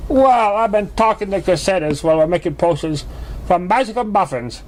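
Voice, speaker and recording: A man's voice: sits high, animated, fairly quick, a little mellow, voice pushed up, poor audio. A man voicing an anxious, earnest young orphan pegasus filly in a story reading. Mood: nervous, earnest.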